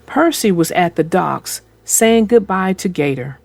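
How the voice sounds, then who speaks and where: Slow, expressive woman reading aloud. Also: fairly low pitch, gravelly, soft background music. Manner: slow, expressive read-aloud with character voices. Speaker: storybook reader and co-host. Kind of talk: reading a children's book aloud, then casual chat.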